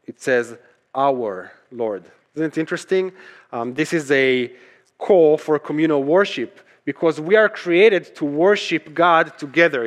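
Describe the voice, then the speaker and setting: A man who is a native Hebrew speaker, preaching as a pastor in a conversational sermon style, emphatic, repeating key phrases and posing rhetorical questions. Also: on the high side. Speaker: pastor preaching to his church.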